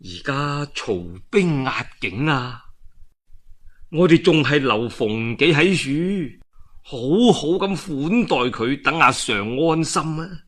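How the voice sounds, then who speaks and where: A man voicing a wily, calculating strategist in a historical storytelling, measured and confiding, with a Cantonese accent. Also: a little high, a bit bright. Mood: quiet, scheming.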